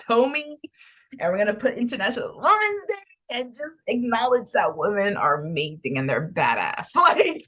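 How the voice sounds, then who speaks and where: A woman of thirty, giving an impromptu casual vlog update in an animated, playful way, laughing often. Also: lowish voice. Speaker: podcast host.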